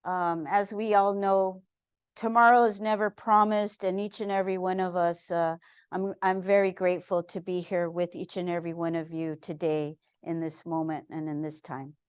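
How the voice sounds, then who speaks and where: A woman in her fifties from Texas giving an earnest, heartfelt museum lecture, pausing often with fillers as she speaks. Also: on the low side, little pitch movement, clean tone, no room sound, clean studio sound. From San Antonio, Texas. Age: 50s.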